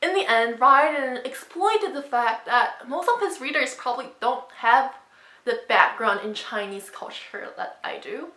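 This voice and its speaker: A woman's voice: high-pitched, animated, measured pace, pushed and bright. A woman giving emphatic, opinionated commentary to camera as a book vlogger. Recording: roomy echo.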